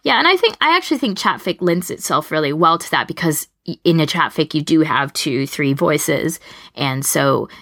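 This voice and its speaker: A woman's voice: deep voice, lively intonation, moderate pace, fairly bright tone, no echo, clean studio sound. A woman co-hosting a casual podcast discussion, chatty and explaining her thoughts at length. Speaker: podcast co-host.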